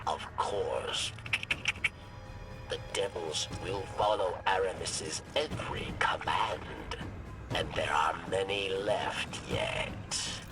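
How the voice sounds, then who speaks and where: An actor playing a wary old male alien schemer in a video game, with a halting, emphatic, grave delivery. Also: low-pitched. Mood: grave, urgent. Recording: distant sound under loud music. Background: loud music.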